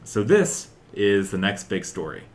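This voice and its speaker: Low-voiced young man, rambling podcast chat. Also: sing-song, a little slow. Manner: rambling, peppered with "like" and "uh". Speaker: podcast host. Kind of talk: casual chat about video game news.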